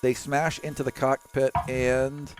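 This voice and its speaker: A man acting as game master, narrating the action in a casual, halting, conversational way. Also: mid-range voice, level tone, over music.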